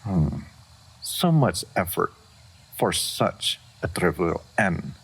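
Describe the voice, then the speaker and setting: A man's voice: low voice, big pitch swings, vocal fry, breathy edge, full character voice, poor audio. A man playing a regal, aloof dragon in human form, speaking formally and with stoic restraint in a fantasy audio roleplay. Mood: puzzled, reserved. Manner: formal, measured, stoic speech with deliberate pauses.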